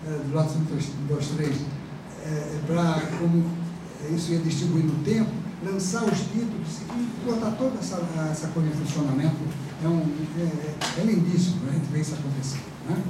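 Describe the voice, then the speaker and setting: A man's voice: on the high side, moderate pace. A man with a Brazilian accent lecturing a university class in a chatty, rambling way, full of fillers and tag questions. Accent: Brazilian Portuguese. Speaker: professor. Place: large classroom. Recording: echoey classroom from far off, noisy.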